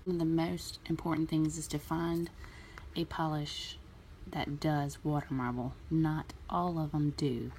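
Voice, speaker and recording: Low, gravelly-voiced woman giving nail tips. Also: unhurried, slight background noise. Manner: relaxed, chatty, many ums. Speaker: nail art vlogger. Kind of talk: casual tutorial talk.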